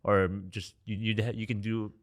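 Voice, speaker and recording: A man's voice: lowish voice, flat delivery, moderate pace. A man who grew up in France, a podcast guest, talking earnestly and encouragingly in a casual interview. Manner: earnest, encouraging, restarts often.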